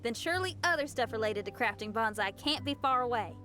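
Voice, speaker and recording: Upbeat young game companion, highish voice that moves around a lot, easygoing. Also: female voice, lively intonation, fast, bright, forceful tone, soft background music. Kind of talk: scripted video game dialogue.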